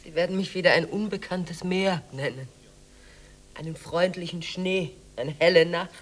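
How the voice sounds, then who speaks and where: An actress in a radio drama playing a composed, gently reproachful innkeeper with a measured, dryly teasing manner. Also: female voice, lowish voice, natural voice. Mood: wry, tender. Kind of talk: German radio drama acting.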